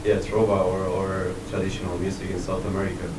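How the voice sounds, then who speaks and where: A man from Chile, asking a question from the audience slowly and haltingly. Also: low voice, completely flat delivery. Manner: slow, halting, searching for words. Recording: distant echoey room with noise.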